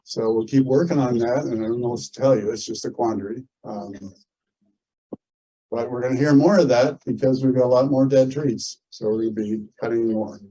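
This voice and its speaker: Husky, very mellow man addressing a meeting. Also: mid-range voice, normal speed, muffled recording. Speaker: meeting chair, board speaker. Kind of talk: informal announcements to a community meeting.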